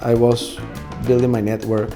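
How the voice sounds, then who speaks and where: A man's voice: mid-range voice, little pitch movement, moderate pace, warm tone. A Venezuelan band leader and musician speaking English with a Venezuelan Spanish accent. He speaks Spanish natively and tells his story thoughtfully and earnestly, sometimes searching for his words. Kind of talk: reflective documentary interview. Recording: music playing underneath.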